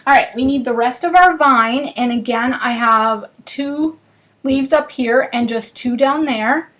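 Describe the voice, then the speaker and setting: A woman's voice: high voice, a little slow, fairly bright tone, poor audio. A woman with a northern US accent, chatting to viewers as she hosts a live card-making class. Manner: chatty and bubbly, chatting with viewers while working. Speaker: craft class host. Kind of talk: live crafting demonstration.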